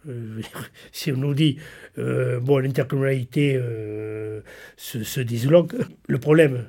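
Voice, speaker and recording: A man's voice: medium pitch, fairly even intonation, slow pace, warm tone. A man, a mayor answering in an interview, hesitant and searching for his words. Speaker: town mayor. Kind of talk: spoken interview.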